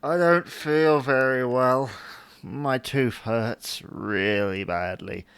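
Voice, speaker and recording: A babyish, plaintive husband, acted by a man, sulks his way through a domestic audio comedy. He speaks slowly, and the recording is close and dry. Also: mid-range voice, creaky, a bit bright, smooth, dry recording. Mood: whiny, sulky. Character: whiny, childish grown man, a sulky husband.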